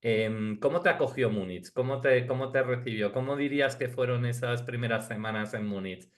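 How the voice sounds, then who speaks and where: A man's voice: medium pitch, normal speed. A man with a Castilian Spanish accent hosting a casual interview, conversational and reacting to his guest. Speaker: interviewer, host.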